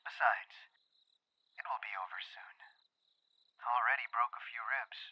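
A man playing a smug, menacing businessman villain in an audio roleplay, mock-polite and deliberate, heard through a phone. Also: mid-range voice. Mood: gloating, threatening. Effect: phone call filter. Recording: dull phone-call sound.

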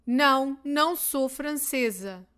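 A woman with a European Portuguese accent drills beginner phrases, saying each one twice. Her voice sits high, and she speaks slowly and carefully, giving every word its space. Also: expressive, a bit bright. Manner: reads each line twice, clearly and deliberately. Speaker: Portuguese teacher. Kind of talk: slow repeated phrase drills for learners.

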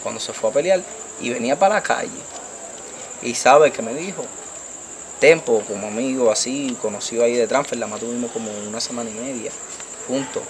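A young man from the Dominican Republic speaks with a Puerto Rican Spanish accent, animated and gesturing as he tells his story. His voice sits at an ordinary middle pitch. A faint hum of night insects runs behind the handheld mic outdoors. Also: fairly quick. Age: young adult. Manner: animated, gesturing, repeats "tú me entiendes". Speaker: interviewee. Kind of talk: street interview storytelling. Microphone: handheld mic held by interviewer.

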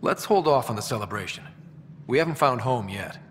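A man's voice: medium pitch, expressive, fairly quick, a bit bright, in a large hall, slight background noise, natural voice. A man playing an earnest, level-headed young human explorer in a video game, speaking plainly and casually. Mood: firm.

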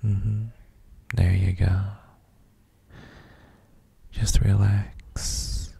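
Brief: gentle boyfriend male actor for sleep ASMR; very dark, husky, breathy near-whisper, extremely slow, doting and soothing. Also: fairly low pitch, flat delivery, full character voice. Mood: soothing, sleepy. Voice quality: very soft, breathy, gravelly, often near whisper. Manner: slow, hesitant, murmured reassurances with hums. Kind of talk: ASMR roleplay, guided sleep meditation.

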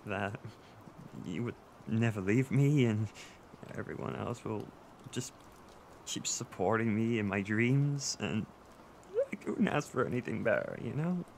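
A man playing a tearful, gravelly-voiced insecure boyfriend. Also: on the low side, expressive, a little hiss or hum. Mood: wistful, tearful. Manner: halting, stammering, with nervous soft laughs. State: emotional, tearful. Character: tearful, insecure boyfriend seeking comfort from his partner. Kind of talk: intimate one-sided audio roleplay.

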